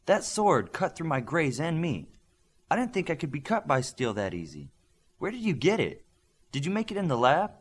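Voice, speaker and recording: A man's voice: a little high, very animated, moderate pace, husky, voice pushed up. A man voicing a sweet, competitive young superheroine with a French accent in an audiobook. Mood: curious.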